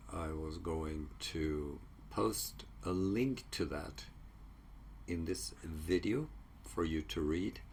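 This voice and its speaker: Man, Swedish native speaker, very low voice, slow halting delivery, faint hiss behind. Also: creaky. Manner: halting, many fillers and restarts. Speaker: psychology researcher. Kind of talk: casual solo video talk.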